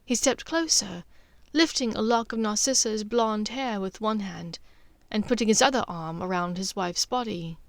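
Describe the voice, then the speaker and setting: A woman's voice: mid-range voice, normal speed, dry recording. Woman narrating fiction, dry close sound. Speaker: audiobook narrator and author. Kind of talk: scripted story narration.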